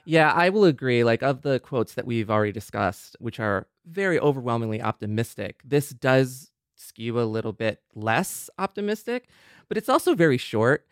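A man's voice: medium pitch, big pitch swings, moderate pace, clean tone, no room sound, studio quality. A man with an American accent co-hosting a casual podcast, agreeable and self-deprecating as he talks things through. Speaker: podcast co-host.

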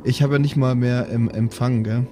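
A man's voice: medium pitch, fairly even intonation, moderate pace, warm tone, faint music behind. A man playing in an improvised tabletop audio play, bantering casually in slang and stumbling over his words. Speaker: tabletop player.

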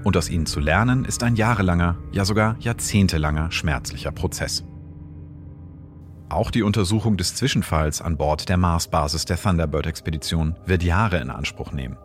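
Deep guy narrating space science, measured, soft music underneath. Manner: measured, explanatory. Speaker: host and astrophysicist.

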